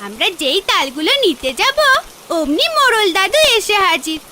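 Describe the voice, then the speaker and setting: A woman's voice: very high voice, very animated, normal speed. A woman voicing an eager, pleading little village girl in a cartoon fairy tale. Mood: earnest. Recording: loud rain noise behind.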